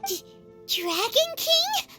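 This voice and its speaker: Very high, childlike girl deity. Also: big pitch swings, drawn-out words, heavily acted. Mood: curious. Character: small childlike girl deity, wise, earnest and composed. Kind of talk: dramatic video game dialogue. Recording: hall reverb, faint music. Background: faint music.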